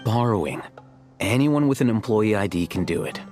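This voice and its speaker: A man voicing a deadpan, aloof scholar. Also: on the low side, moderate pace, close to an everyday voice. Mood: dry. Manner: deadpan, dry. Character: cool aloof male scholar, dry and sardonic. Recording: game audio with faint music.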